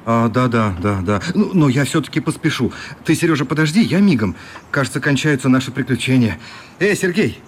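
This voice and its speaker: A man's voice: mid-range voice, fairly quick, natural voice. A man playing a kindly, rueful newspaper correspondent in a radio play, with a companionable manner. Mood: hurried, concerned. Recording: light steady background noise.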